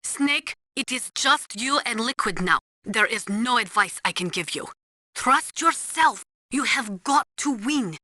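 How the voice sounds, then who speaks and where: An actress playing a serious military weapons analyst in a video game, speaking in a precise, matter-of-fact way. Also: female voice, fairly high pitch, expressive, unhurried, bright, forceful tone, no room sound, exaggerated voice. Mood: urgent, concerned.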